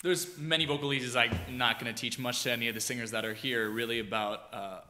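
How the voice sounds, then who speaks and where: A young man, an opera singer, casually explaining his vocal warm-ups on a concert hall stage. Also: mid-range voice, steady tone, fairly quick, faint music behind. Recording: long concert hall reverb.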